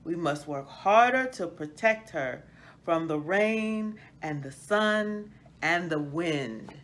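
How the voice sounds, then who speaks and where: A woman voicing loving, protective brother trees in a children's storybook, in a measured, solemn manner. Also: on the low side, animated. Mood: resolute.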